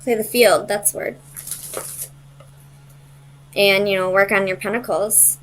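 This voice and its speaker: A woman's voice: mid-range voice, fairly even intonation, unhurried, a bit bright, a little hiss or hum, rough recording. A woman giving a casual, conversational tarot reading, hesitant as she thinks aloud and searches for her words. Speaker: tarot reader.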